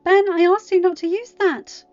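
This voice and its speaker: A woman's voice: very high-pitched, steady tone, normal speed, a little mellow, pitched above the performer's own voice. A woman voicing an irritable, stubborn teenage girl in an audiobook, defensive, whiny and exasperated. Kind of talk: acted audiobook dialogue. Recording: dull lo-fi home recording, music underneath.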